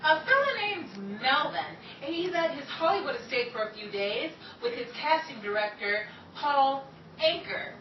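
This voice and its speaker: A woman's voice: high-pitched, big pitch swings, measured pace, lo-fi sound. A woman playing a brassy, ambitious young hopeful actress in a 1930s-style radio comedy, chatty, folksy and wisecracking. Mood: breezy, eager. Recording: echoey stage, distant mic, noisy audience.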